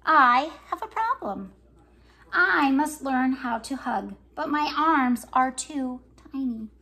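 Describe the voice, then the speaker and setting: A tiny young T-rex from a storybook, read aloud by a woman. The voice is high, earnest and determined. Each simple sentence is set out with care. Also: expressive, bright, forceful tone, a little hiss or hum, exaggerated voice. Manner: simple, careful, deliberate storybook phrasing.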